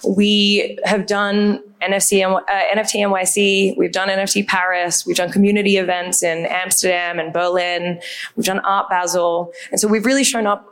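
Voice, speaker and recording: A woman's voice: medium pitch, level tone, normal speed, a bit bright, clear, resonant tone. A woman from Australia with an Australian accent, speaking on a panel as a brand communications head in an upbeat, assured way. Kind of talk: panel talk.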